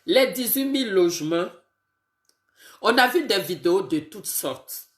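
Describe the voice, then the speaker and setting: A woman who has a bad cold, greeting her viewers one by one on a casual live video and talking in a halting way with many fillers. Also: mid-range voice, animated, echoey room. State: congested with a cold, sniffly and tired. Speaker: political live streamer.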